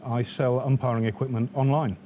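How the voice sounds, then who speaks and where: English bloke in his 50s on a quiz, soft mellow voice, slight room noise. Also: mid-range voice, steady tone, brisk. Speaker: quiz contestant, team captain. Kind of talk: answering a host's questions.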